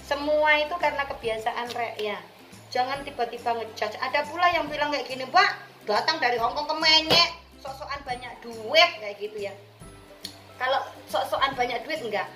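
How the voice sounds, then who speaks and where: A woman's voice: high-pitched, moderate pace. A woman from Indonesia with an East Javanese accent, chatting casually and colloquially to her viewers in slangy, direct address. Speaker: vlogger, returned migrant worker. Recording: roomy echo with music behind.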